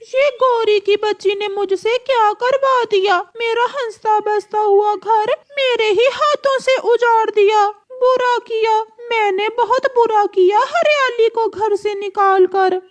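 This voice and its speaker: Excitable parrot husband for kids' cartoon, played by a woman; piercingly high, emphatic delivery. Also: takes it slowly, hardly any pauses. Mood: distressed, remorseful. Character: excitable, hot-tempered male parrot husband, gullible and remorseful. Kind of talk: Hindi children's cartoon voice acting.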